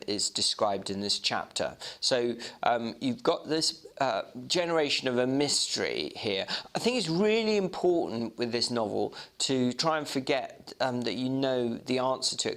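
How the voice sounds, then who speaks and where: A man's voice: fairly low pitch, fairly bright tone. A man narrating a classic novel and explaining it informally, like a literature guide. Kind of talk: storybook narration and informal commentary.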